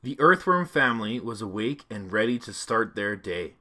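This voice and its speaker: Male narrator for a kids' read-along, bright and expressive. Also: fairly low pitch, normal speed. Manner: clear, expressive reading for children.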